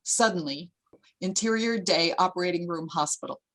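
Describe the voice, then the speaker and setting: Woman, narrator, lower pitch, scripted stage directions, screenplay table read. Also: normal speed, fairly bright tone.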